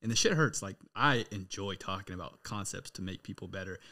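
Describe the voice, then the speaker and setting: A man's voice: low-pitched, lively intonation, brisk, pushed and bright. An American man hosting a podcast, chatting informally and earnestly with his guest. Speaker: podcast host. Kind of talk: casual interview chat.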